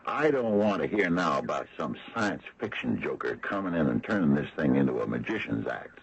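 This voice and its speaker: An actor playing a gruff, stubborn, skeptical small-town American sheriff in an old radio drama, folksy and grumbling. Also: male voice, very deep voice, sing-song, fairly quick, heavily acted. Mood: scornful. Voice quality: gravelly, husky. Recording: dull vintage radio broadcast recording.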